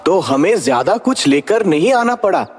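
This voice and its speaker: Man voicing a high-pitched cautious male ghost, reverberant. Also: sing-song, moderate pace, slightly rough, heavily acted. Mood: politely evasive. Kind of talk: animated Hindi story dialogue. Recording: long hall reverb, music underneath.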